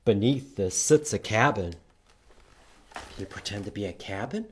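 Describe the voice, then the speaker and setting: A man reading aloud to children at a storytime, expressive and inviting them to join in. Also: fairly low pitch, moderate pace, a little hiss or hum. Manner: expressive, animated, asks listeners to join in. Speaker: children's storytime reader at a library. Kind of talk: read-aloud storytime with singing and prompts to children.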